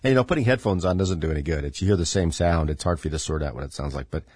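A man's voice: extremely low pitch, racing, darkish tone, husky, dry recording, studio quality. A middle-aged man hosting his own podcast, a bit congested and stuffy, rambling sardonically with lots of fillers. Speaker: podcast host. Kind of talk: casual political commentary monologue.

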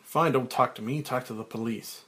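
A man's voice: mid-range voice, moderate pace, pushed and bright, natural voice. A man voicing a brash, short-tempered TV producer who snaps and blusters. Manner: snappy, blustering.

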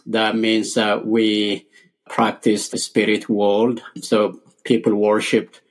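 A middle-aged man from Ethiopia, a podcast guest earnestly telling his life story in short, repeated phrases. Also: on the low side.

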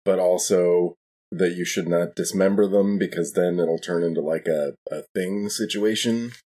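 Deep, husky man playing tabletop. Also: fairly even intonation, normal speed, dark tone. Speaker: tabletop role-playing game player. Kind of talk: casual in-game chat.

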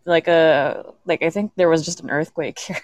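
A woman's voice: low-pitched, moderate pace, darkish tone, no echo, clean studio sound. A woman podcast creator chatting casually as a panelist.

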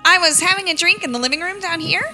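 A woman's voice: extremely high pitch, fast talker, bright, forceful tone, soft background music, heavily acted. A woman playing a flustered, defensive young heir in a comedic radio play, breezy and exaggerated. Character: flustered, excitable young heir in murder mystery.

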